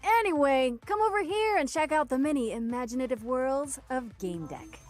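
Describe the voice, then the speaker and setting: A woman co-hosts a video game showcase in a scripted comic style. She is wry and teasing, with a fairly high, very animated voice. Soft music sits behind her. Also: normal speed.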